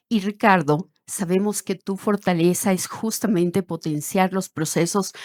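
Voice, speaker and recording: A woman's voice: fairly low pitch, a little mellow, dry recording, studio quality. A woman moderating a panel, formally and emphatically commenting between speakers and introducing them.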